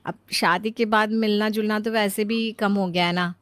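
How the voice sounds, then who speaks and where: A woman's voice: mid-range voice, steady tone, normal speed, fairly bright tone, smooth, dry recording, natural voice. A woman voicing a chatty young daughter-in-law in a cartoon story, coaxing and teasing. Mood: coaxing, eager. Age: young adult. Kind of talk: acted cartoon story dialogue.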